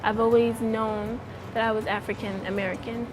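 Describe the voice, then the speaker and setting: A young woman with a fairly high voice speaks earnestly to camera, restating her ideas and starting many thoughts with "I think that." Clear music plays behind her words. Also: level tone, normal speed, low-quality recording. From Pennsylvania. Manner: earnest, repeats "I think that", circles back to her point. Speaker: interviewee. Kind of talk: personal testimony to camera. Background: background music.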